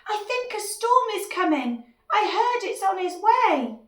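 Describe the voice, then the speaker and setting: Woman putting on a squeaky alarmed little lizard. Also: very animated, unhurried, heavily acted. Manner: shouting, urgent. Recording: echoey room, distant microphone.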